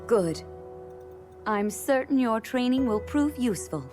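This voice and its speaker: An actress playing a gentle, wise queen and mentor in a video game, speaking with calm, encouraging poise. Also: fairly high pitch, a little slow, music in the background. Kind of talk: video game cutscene acting.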